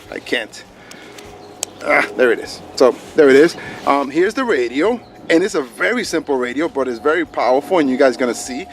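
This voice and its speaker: A man's voice: fairly high pitch, a little hiss or hum. A man casually presenting a gadget review in an easygoing, explanatory way. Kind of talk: casual hands-on review chat.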